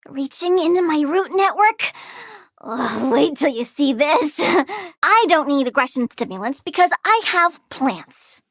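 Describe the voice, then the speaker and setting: An actress playing a perky, morbidly cute young plant villainess in a video game, with a childlike, sardonic, theatrical delivery. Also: female voice, extremely high pitch, a bit bright, no room sound, exaggerated voice. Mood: gleeful menace. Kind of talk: video game character dialogue.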